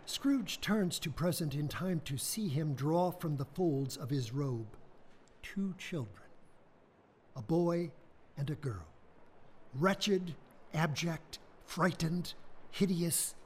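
Measured male narrator, raised pitch and a husky edge. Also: lively intonation. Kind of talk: scripted storybook narration.

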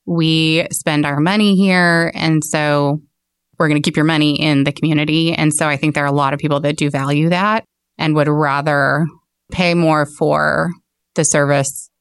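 A woman's voice: sits low, fairly even intonation, normal speed, clean tone, no echo, clean studio sound. A woman with an American accent explaining things casually as a shop owner being interviewed. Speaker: bookstore owner, interview guest.

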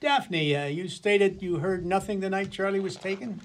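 A man's voice: high voice, moderate pace. A man playing a sly, playful detective's sidekick in a comedy whodunit radio play, arch and teasing.

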